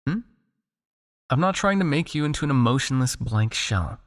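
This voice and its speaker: A man playing a possessive, teasing vampire captor in an intimate ASMR roleplay, coaxing the listener with a creaky voice. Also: medium pitch, animated, close to an everyday voice. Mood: coolly menacing, coaxing. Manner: coaxing, teasing, with pauses, sighs and murmured asides.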